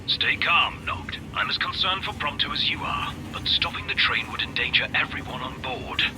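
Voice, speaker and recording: Actor as a composed adviser over clear noise. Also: male voice, a little high, animated, close to an everyday voice. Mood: calm concern. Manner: formal, measured, articulate. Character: composed, precise young male royal adviser. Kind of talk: video game voice acting.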